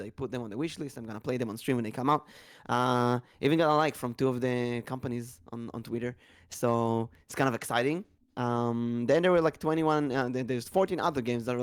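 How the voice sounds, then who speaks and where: A man hosting a casual podcast, talking in a chatty, rambling way with a slightly tired, raspy voice. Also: medium pitch, no room sound, clean studio sound. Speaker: podcast host.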